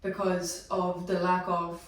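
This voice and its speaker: A woman's voice: fairly low pitch, monotone, slow pace. A woman chatting casually as a panelist in a group discussion. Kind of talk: casual chat. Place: echoey indoor room. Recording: very echoey room, distant mic.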